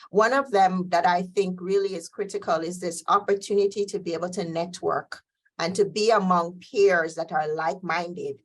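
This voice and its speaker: A middle-aged woman from Jamaica, a guest scientist answering interview questions in a measured way with frequent fillers. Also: fairly low pitch, a bit bright.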